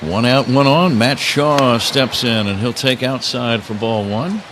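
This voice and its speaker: A man calling baseball play-by-play live on the radio, slipping wry, casual banter between pitch calls. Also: measured pace. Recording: clear ballpark noise behind. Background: outdoor stadium ambience.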